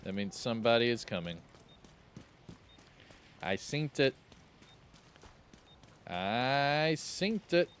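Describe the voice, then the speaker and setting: A man giving casual, joking gameplay commentary with a slightly raspy voice, relaxed but breaking into excited outbursts. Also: medium pitch, slow, long pauses, a little hiss or hum.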